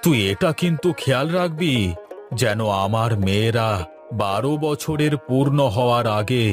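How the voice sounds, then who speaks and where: A man's voice: mid-range voice, expressive, normal speed, lightly acted. Man voicing a protective cartoon father over music. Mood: worried, cautioning. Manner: cautioning, paternal. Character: protective father in a village fairy tale. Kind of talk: Bengali cartoon voice acting. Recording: music under the voice.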